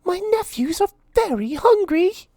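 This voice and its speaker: A man's voice: very high-pitched, very animated, unhurried, mellow, no room sound, voice pushed up, heavily acted. A man voicing a poor, kind and polite maid in a children's storybook, with an earnest, sweet way of speaking. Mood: hungry, hopeful. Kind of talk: children's storybook read-aloud.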